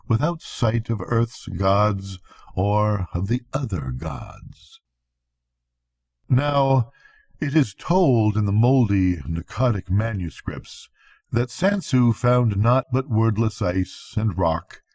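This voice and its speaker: A man's voice: on the low side, darkish tone, breathy edge, dry recording, clean studio sound. An older man narrating a bedtime story like a grandfather, with solemn, dramatic phrasing.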